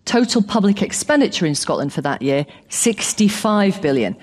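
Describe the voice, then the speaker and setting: A woman's voice: medium pitch, very animated, moderate pace, a little mellow, breathy edge, long reverb tail. A woman hosting a live debate, cutting in and pressing guests for straight answers. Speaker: debate host. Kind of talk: live radio debate moderation.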